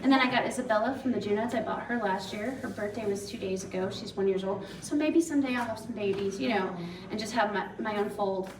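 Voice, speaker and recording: A woman's voice: mid-range voice, fast, few pauses, noisy background. A woman ranch owner speaking casually to an audience as guest speaker, chatty and rambling. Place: expo hall. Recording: roomy hall from far off with crowd.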